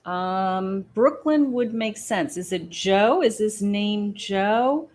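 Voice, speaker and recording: A woman hosting a live psychic reading from her patio, talking slowly with many pauses and fillers as she thinks aloud. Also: medium pitch, expressive, darkish tone. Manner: slow, hesitant, many ums, thinking aloud. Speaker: psychic medium host. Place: home patio.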